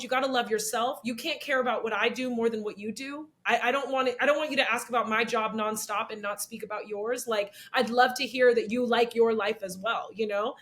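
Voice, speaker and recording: Animated young woman, slightly raspy. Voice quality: slightly raspy, low-set voice. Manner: animated, laughs often, acts out quoted voices. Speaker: actress and comedian, interview guest. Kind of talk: candid interview conversation.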